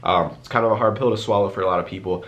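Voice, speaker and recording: Stuffy-nosed young man chatting fast. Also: fairly low pitch, a bit bright. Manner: chatty and rambling, lots of "like" fillers. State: stuffy, congested from a cold. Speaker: YouTuber. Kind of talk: casual Q&A vlog to camera.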